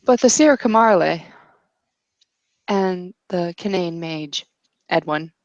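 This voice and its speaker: Creaky, low-voiced woman narrating a roleplaying game. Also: no echo, lo-fi sound. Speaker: game master. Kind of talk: improvised tabletop roleplay narration.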